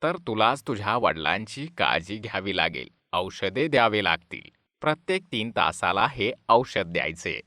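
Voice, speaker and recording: Man acting calm, low-pitched family doctor. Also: moderate pace, dry recording, full character voice. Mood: calm, advising. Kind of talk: dubbed animated moral story.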